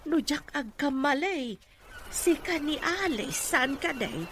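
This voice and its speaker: Radio drama villager: older, chatty, friendly, high voice, Ilocano accent, noisy backdrop. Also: female voice, expressive, slow pace. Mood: chatty, helpful. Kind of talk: Filipino radio drama acting. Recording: clearly noisy ambience behind.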